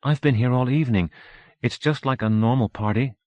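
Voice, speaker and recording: A man's voice: mid-range voice, brisk, no room sound, muffled recording, voice pushed up, natural voice. A man voicing a tipsy young woman who is flippant and defiant.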